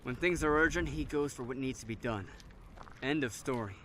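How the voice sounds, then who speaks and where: A man's voice: medium pitch, normal speed, pushed and bright, slight background noise, lightly acted. An actor playing a wry, worried man of twenty-nine surviving a zombie apocalypse in a conversational audio drama. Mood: worried, earnest. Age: 20s.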